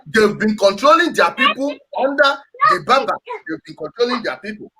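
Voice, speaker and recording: A man's voice: very high-pitched, very animated, normal speed, gravelly, noisy background. A man with a Nigerian accent hosting a heated political discussion, speaking emphatically and repeating his phrases. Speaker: host.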